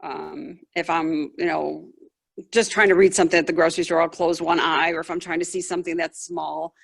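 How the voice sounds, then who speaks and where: A woman speaking as a patient, describing symptoms conversationally and haltingly on a video call, searching for words. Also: sits low, little pitch movement, vocal fry. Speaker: patient asking a doctor questions.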